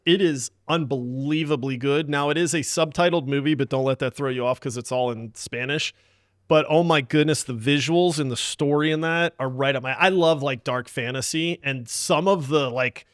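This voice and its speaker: A man's voice: fairly high pitch, a bit bright, dry recording, studio quality. A man hosting a casual gaming podcast, talking in an enthusiastic, emphatic and slangy way. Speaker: podcast host.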